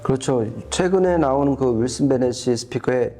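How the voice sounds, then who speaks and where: Mellow-voiced man explaining audio gear. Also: mid-range voice, moderate pace. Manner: explains step by step, rephrasing as they go. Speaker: audio reviewer and co-host. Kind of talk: explanatory product review talk.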